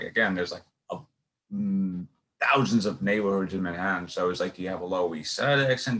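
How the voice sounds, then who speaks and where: A man's voice: low-pitched, a bit bright. A man with a Brooklyn accent hosting a podcast, asking long, rambling questions and restarting his sentences often. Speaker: podcast host. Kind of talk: casual podcast interview.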